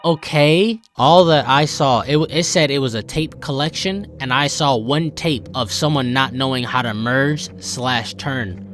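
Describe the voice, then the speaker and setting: A man's voice: mid-range voice, measured pace, fairly bright tone, faint music behind. A young American man giving casual, joking reaction commentary in exclamatory slang. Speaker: host of a reaction channel.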